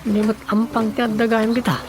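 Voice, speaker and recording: A woman's voice: fairly high pitch, little pitch movement, fairly quick, a little mellow, natural voice. A woman playing a grumbling, easily exasperated villager in a comedic radio drama, with an Ilocano Filipino accent and exclaiming delivery. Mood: puzzled, grumbling. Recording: clear background noise.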